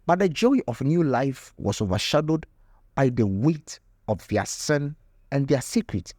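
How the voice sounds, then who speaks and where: Slow, animated male storyteller. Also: medium pitch, dry recording, clean studio sound. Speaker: storyteller and channel host. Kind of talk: dramatic scripted folktale narration.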